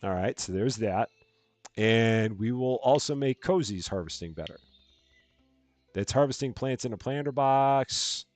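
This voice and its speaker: A man with a calm, soothing voice, casually talking through a game on a live stream and thinking aloud as he plays. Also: mid-range voice, unhurried, faint music behind. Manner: soft-spoken, rambling, thinking aloud.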